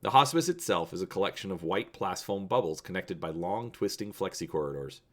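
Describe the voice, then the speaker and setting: Deep-voiced, animated male storyteller. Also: a little fast. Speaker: channel host and narrator. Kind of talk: dramatic storybook reading and presenting.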